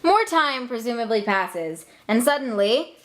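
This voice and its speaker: A woman's voice: on the high side, animated, a little slow, fairly bright tone. A young woman narrating a comic scripted story in a flippant, casual manner.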